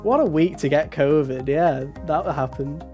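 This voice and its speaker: A man with a raspy, slightly hoarse voice hosts a casual gaming podcast, joking and rambling. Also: a little high, moderate pace, darkish tone, faint music behind. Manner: joking, self-mocking, rambling. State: hoarse, recovering from covid. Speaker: podcast host.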